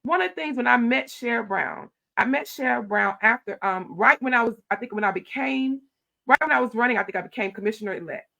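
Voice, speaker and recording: A middle-aged woman, a county commissioner telling stories in an animated, loud voice. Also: a little high, fast talker, gravelly. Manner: animated storytelling, acting out her own quoted replies. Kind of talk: casual interview talk.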